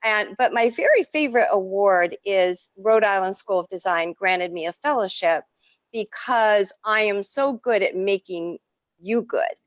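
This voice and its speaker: A woman whose first language is French, an artist telling stories in an effusive, expressive way as an interview guest. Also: mid-range voice, a little slow, no room sound, highs cut off. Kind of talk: casual interview.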